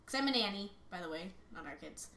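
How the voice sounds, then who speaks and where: A woman's voice: medium pitch, animated, fast, sharp tone. A woman, chatting casually as a guest, sitting back from a shared microphone at home. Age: 20s. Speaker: guest, host's fiancée. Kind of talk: casual chat. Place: small room at home. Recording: roomy, hissy, off-mic home recording.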